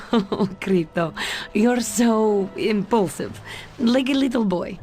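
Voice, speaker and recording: A woman's voice: mid-range voice, slow pace, warm tone, natural voice. A woman playing a shrewd Soviet spy in a video game, speaking with a Russian accent in a guarded, wry way. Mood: amused, warmer. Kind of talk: video game cutscene voice acting.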